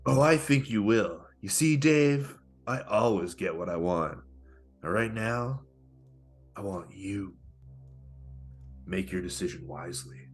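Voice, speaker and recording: A man playing a smug, menacing con-artist pickup guru in a comedic script read, with taunting, theatrical delivery and a husky voice. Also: lowish voice, lively intonation, soft background music. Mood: smug, sinister. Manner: taunting, theatrical, deliberate threats.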